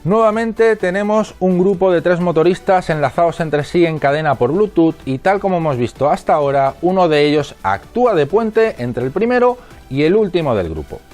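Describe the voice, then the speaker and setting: A man's voice: a little high, animated, moderate pace, darkish tone, soft background music. A man with an accent from Spain reading scripted instructional narration in a methodical, didactic manner. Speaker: narrator of a product explainer.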